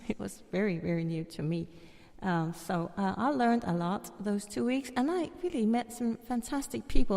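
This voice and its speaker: A woman's voice: mid-range voice, gravelly, warm tone. Danish woman telling her story, echoey. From Denmark. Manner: chatty, laughs often, many fillers and restarts. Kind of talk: casual storytelling.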